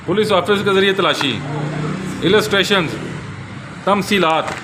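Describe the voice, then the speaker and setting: A man's voice: high voice, background noise. A man with a Pakistani accent giving an informal product overview in a conversational, explanatory way, often repeating his points, recorded on a handheld camera. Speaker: bookseller presenting law books. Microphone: handheld camera held in one hand.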